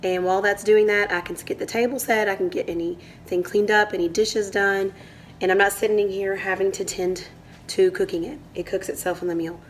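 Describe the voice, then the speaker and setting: Woman host, voice hoarse from a cold, chatty step-by-step kitchen demo, quiet hum behind. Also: mid-range voice, fairly even intonation, brisk. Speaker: channel host. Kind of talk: casual cooking demonstration. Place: home kitchen.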